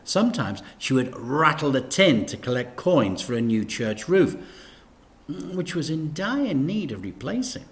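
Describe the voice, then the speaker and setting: A man reading a children's story aloud in an expressive, deliberate way with dramatic emphasis. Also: fairly high pitch.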